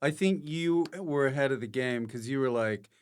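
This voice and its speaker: A man with a clear, smooth voice hosts a casual interview. He sits at a middling pitch and chips in with teasing questions and asides. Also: normal speed. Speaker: podcast host, interviewer.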